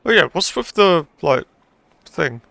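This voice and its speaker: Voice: medium pitch, very animated, a little slow, creaky, a bit bright, no room sound. A game streamer giving casual, offhand commentary while playing a story quest.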